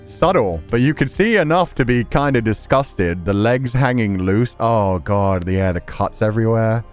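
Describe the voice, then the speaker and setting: A man's voice: on the low side, lively intonation, normal speed, darkish tone, faint music behind. A man giving casual, candid game commentary, reacting as he goes and swearing freely. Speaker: streamer playing a visual novel. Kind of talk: casual game commentary with read-aloud text.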